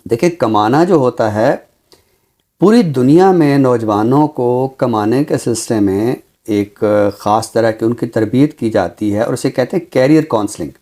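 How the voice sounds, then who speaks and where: A Pakistani man speaking formally and deliberately as a literary commentator, with emphatic, repeated phrasing. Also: mid-range voice, warm tone. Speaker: literary commentator on a talk show. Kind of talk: measured, formal literary discussion and poetry recital.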